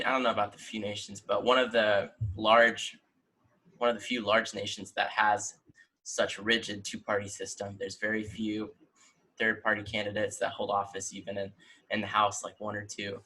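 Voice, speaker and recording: An American man in his twenties hosts a casual discussion show. His voice sits a little low but comes across bright and forward, as on a video call from home. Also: level tone, normal speed, rough recording. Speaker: host.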